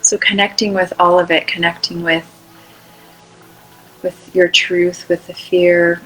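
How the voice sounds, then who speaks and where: A woman leading an unscripted guided meditation in a soothing, unhurried way, with long pauses between short phrases. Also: lowish voice, flat delivery, fairly bright tone, soft background music, rough recording.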